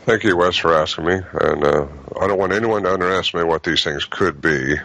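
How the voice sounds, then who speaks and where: A man's voice: low-pitched, a little fast, fairly bright tone, poor audio. A man speaking as a guest on a show, giving an earnest warning.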